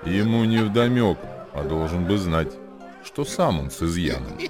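Brief: worldly old-world sage, low register, declamatory radio verse recitation, music underneath. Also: male voice, animated, measured pace. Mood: wry counsel.

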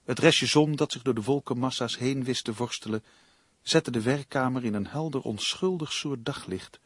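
An actor playing an uneasy, earnest crime novelist in a radio drama, given to defensiveness. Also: male voice, mid-range voice, moderate pace, husky, lightly acted. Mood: ominous.

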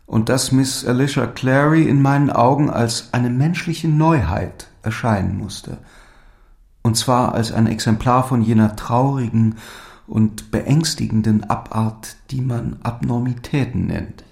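Creaky-voiced lovesick lord. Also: male voice, medium pitch, unhurried, a little mellow. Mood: melancholy confession. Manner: earnest, solemn, literary phrasing. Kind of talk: German audio drama acting. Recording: music under the voice.

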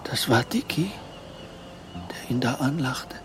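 An actor narrating a tense crime story in a scripted radio drama. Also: male voice, mid-range voice, animated, slow pace, in a whisper. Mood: tense, measured.

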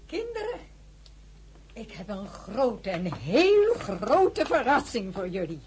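An excitable mother in a radio play, fussing over her family. her high voice swoops up and down and drags out the words slowly. a crowd murmurs behind her. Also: heavily acted. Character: excited older mother of the household.

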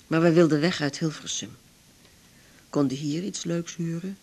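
An older Dutch widow with a low voice for a woman. She is lonely and tense, and confides haltingly in the natural style of an eighties radio play. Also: fairly even intonation, gravelly, a little mellow. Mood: bitter, grieving. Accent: Netherlands Dutch. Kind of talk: naturalistic 1980s radio drama acting.

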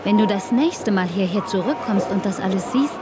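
A woman playing a calm, protective, enigmatic traveller who guides a boy in a German radio drama. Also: mid-range voice, moderate pace, over a noisy crowd, very noisy, lightly acted. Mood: calm, caring.

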